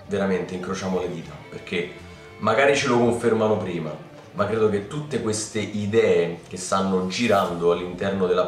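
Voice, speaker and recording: Deep-voiced young man in echoey room. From Italy. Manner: chatty, excitable, addresses viewers as ragazzi. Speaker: anime news YouTuber. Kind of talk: casual news vlog. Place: home room with keyboard and lamp. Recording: roomy, slightly distant mic with faint music.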